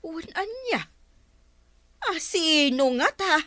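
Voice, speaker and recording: Radio comedy: a sharp, suspicious woman councilor, bright and dry. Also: extremely high pitch, very animated, slow, no echo, full character voice. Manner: suspicious, scolding. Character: sharp-eyed woman councilor keeping fish. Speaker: village councilor. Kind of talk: radio comedy drama acting.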